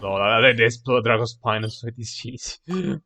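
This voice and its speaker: A man streaming a game with casual live commentary, halting and rephrasing as he goes. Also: mid-range voice, pushed and bright, slight background noise.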